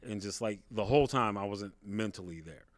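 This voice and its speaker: A man with a big voice, a podcast guest, telling a personal story in a casual, halting, conversational way. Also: fairly low pitch, no room sound. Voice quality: big, carrying voice. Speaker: podcast guest, stand-up comedian.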